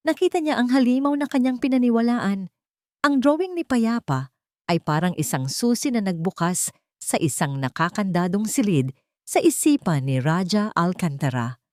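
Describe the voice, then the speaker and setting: A woman's voice: mid-range voice, darkish tone, no room sound, clean studio sound. A woman reading a scripted love story as a dramatic storyteller.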